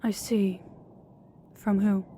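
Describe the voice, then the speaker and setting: A woman's voice: mid-range voice, little pitch movement, extremely slow, warm tone, a little hiss or hum. A woman playing a sadistic female crime boss in an audio roleplay, speaking in a formal, controlled and menacing way. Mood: cool, businesslike.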